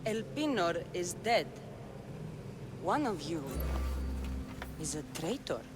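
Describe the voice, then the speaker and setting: A menacing cult leader, imperious and slow, with a voice that swings widely between cold command and outburst. It is dramatic video game acting. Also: female voice, fairly low pitch, big pitch swings. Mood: cold accusing. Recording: loud music behind. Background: loud dramatic music.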